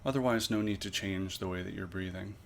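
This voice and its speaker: A man leading a guided body scan meditation as an instructor, with measured, pausing, gently instructive phrasing. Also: sits low, vocal fry, slight background noise.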